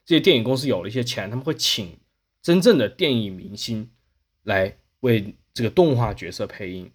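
A man's voice: medium pitch, expressive, fairly quick, slightly rough. A man hosting a casual podcast discussion, explaining his points through examples. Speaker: podcast host.

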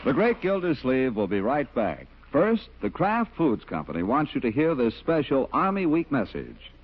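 A man's voice: medium pitch, big pitch swings, moderate pace, noisy background. An American man announcing from a script in 1940s network radio style. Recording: muffled 1940s radio, loud music and audience.

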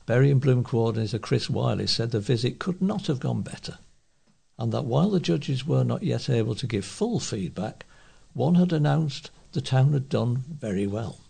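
Male newsreader for community news: very creaky, soft dark tone. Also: medium pitch. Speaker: volunteer newsreader for a local talking newspaper. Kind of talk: read-aloud local news.

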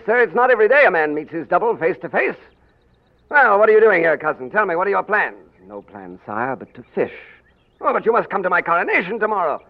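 An actor playing a dashing, courtly gentleman of royal looks in a theatrical 1940s radio drama. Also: high-pitched. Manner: theatrical, courtly, crisply spoken. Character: dashing gentleman adventurer and his excitable royal double. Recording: tinny, narrow old radio broadcast.

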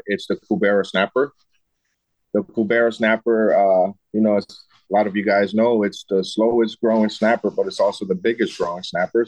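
Low-voiced man explaining fishing. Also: a little mellow, no room sound, poor audio. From Costa Rica, raised in US Midwest. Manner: friendly, explanatory, frequent "uh". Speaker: fishing director guest. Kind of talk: interview answers.

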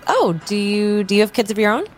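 A woman playing a casual, friendly young tarot reader in an improvised audio drama, talking in a halting, conversational way. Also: medium pitch, animated, faint music behind, close to an everyday voice. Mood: friendly, curious.

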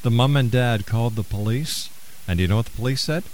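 A man's voice: mid-range voice, fast, a little mellow, slight background noise. A man hosting a radio interview in a folksy, encouraging way. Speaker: radio host.

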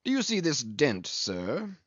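A man voicing a solemn English ship's surgeon in an audiobook, deadpan, measured and drily pompous. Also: on the high side, dry recording, dull audio, voice pushed up, exaggerated voice. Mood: coolly deadpan.

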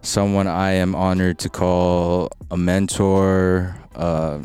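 A man's voice: low voice, flat delivery, takes it slowly, a little mellow, smooth, faint music behind. A man hosting a faith podcast, talking casually with many ums and likes. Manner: hesitant, many fillers. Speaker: podcast host. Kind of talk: casual interview.

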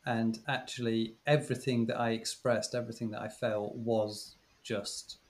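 A man's voice: mid-range voice, flat delivery, moderate pace. A man with an everyday mid-range voice shares teaching memories on a podcast. He talks casually and thoughtfully, restarting sentences and repeating words as he works out what to say. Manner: reflective, many restarts and stammered repeats. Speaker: podcast co-host, former teacher. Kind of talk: casual chat.

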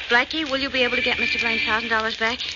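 An American woman playing a detective's loyal, teasing and concerned girlfriend in an expressive 1940s radio mystery style. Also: a little high, very fast, close to an everyday voice. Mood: shocked, worried. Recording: muffled, noisy old-time radio recording.

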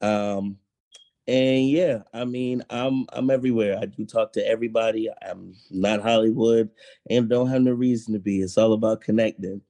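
A young man, a musician guest on a podcast, speaking in a relaxed, matter-of-fact way. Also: fairly low pitch, normal speed. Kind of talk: casual interview chat.

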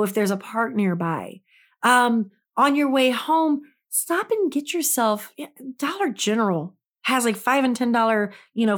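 A middle-aged woman and mother of grown kids, hosting a casual self-help talk in a chatty, folksy way, her throat a little dry from allergies. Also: on the high side. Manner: chatty, folksy, addresses listeners directly. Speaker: podcast host.

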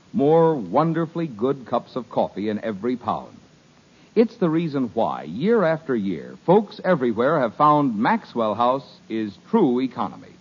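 A man's voice: medium pitch, slightly rough. A man reading a 1950s radio commercial as announcer, upbeat and salesy. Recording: dull old radio recording.

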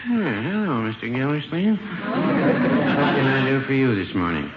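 An actor playing a mild, older shopkeeper with dry, hesitant understatement in a 1950s radio comedy. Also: male voice, mid-range voice, big pitch swings, a little slow. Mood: mild, droll. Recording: muffled, noisy old radio recording.

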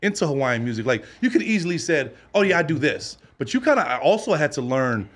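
A man hosting a podcast in casual interview chat, animated and quick to laugh, cheering his guests on. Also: a little high. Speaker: podcast host.

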